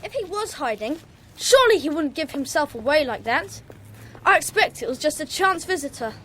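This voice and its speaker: A woman's voice: high voice, very animated, normal speed, fairly bright tone, slight background noise. A woman playing a friendly, eager young schoolboy in a children's audio drama. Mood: curious.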